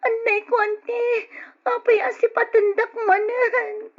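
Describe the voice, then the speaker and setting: A woman's voice: very high voice, flat delivery, slow, noisy background. A woman playing a young, mistreated orphaned niece, plaintive and pleading, in old Ilocano radio drama style. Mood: crying, hurt. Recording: dull lo-fi old radio recording.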